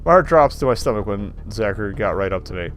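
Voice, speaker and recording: A man's voice: lowish voice, lively intonation, quick pace, a bit bright. Man reading a game aloud over music. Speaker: game streamer. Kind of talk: reading visual novel narration aloud with casual asides.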